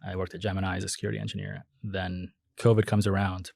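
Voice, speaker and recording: A young man with a low, gravelly, slightly husky voice, talking in a relaxed, halting way full of fillers and restarts. He laughs easily between thoughts. The sound is close and dry. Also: no echo. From United States. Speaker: startup founder, guest. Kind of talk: casual interview chat.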